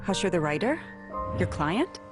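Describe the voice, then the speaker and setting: Determined, caring woman in a game drama: slightly low register, natural conversational delivery, music behind. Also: big pitch swings, unhurried. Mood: concerned. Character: anxious, caring, determined woman seeking missing man. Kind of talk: conversational game cutscene dialogue.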